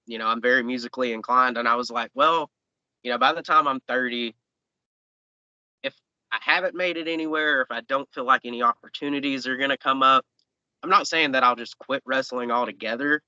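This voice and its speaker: Young man, late twenties, very bright forceful tone, loose rambling chat; dry dull mic. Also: medium pitch, a little fast, clear, resonant tone, no echo. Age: 20s. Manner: easygoing, rambling with fillers and restarts. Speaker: professional wrestler guest. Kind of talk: casual interview chat.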